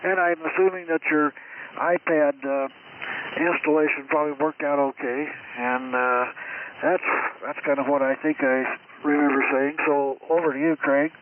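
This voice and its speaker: Higher-voiced man on a distant, phone-like radio. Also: fairly even intonation, moderate pace. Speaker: amateur radio operator. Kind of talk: casual explanatory ham radio chat. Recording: distant, phone-like radio link with faint noise.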